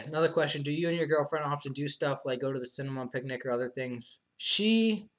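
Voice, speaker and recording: Hesitant young man, soft and halting. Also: mid-range voice. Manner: hesitant, halting, many fillers and restarts; soft, subdued, earnest. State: losing his voice after long talking. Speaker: live streamer answering viewer questions. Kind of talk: casual live stream monologue.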